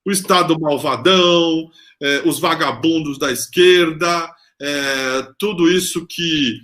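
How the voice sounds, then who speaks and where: A man's voice: fairly high pitch, slow, fairly bright tone. A Brazilian man hosting a live talk show, speaking with indignant sarcasm about politics. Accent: Brazilian Portuguese. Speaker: host.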